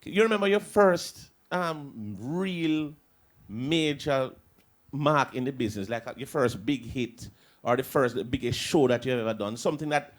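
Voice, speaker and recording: A man's voice: mid-range voice, normal speed, hardly any pauses. A man hosting a radio interview, asking long prompting questions. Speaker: radio host.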